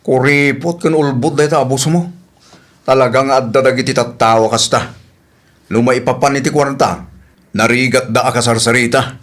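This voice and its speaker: A man's voice: mid-range voice, fairly even intonation, moderate pace, a bit bright, lightly acted. A man playing a protective, indignant older brother in a radio comedy drama, plain-spoken and questioning. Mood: indignant, probing.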